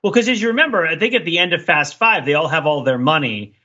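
Male podcaster, fairly high and slightly husky, lively film banter with jokes, a little lo-fi. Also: quick pace. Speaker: podcast host. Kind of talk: casual movie discussion.

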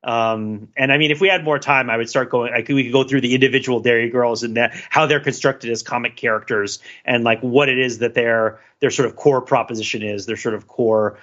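A man's voice: medium pitch, steady tone, fairly quick, hardly any pauses, fairly bright tone, highs cut off. A man co-hosting a podcast discussion, talking casually with many fillers, restarts and little laughs. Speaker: podcast co-host.

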